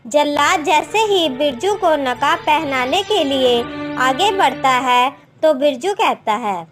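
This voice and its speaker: A woman narrates a scripted moral tale as a storyteller. Her voice is high-pitched. Music plays clearly behind her narration. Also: expressive, slow. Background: background music.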